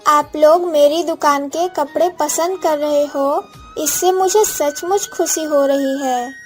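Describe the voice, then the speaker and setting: High voice, slow pace, wronged proud orphan girl acted by a woman, earnest and dramatic, moral-story cartoon. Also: fairly even intonation, soft background music. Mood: proud, polite. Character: wronged orphan village girl, pleading, later proud shopkeeper.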